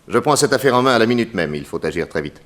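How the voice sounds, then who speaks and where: An actor playing a courteous, composed private detective with a polite, measured, suave manner, in 1960s French radio drama style. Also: male voice, fairly low pitch, big pitch swings, fairly bright tone. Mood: modest, resolute.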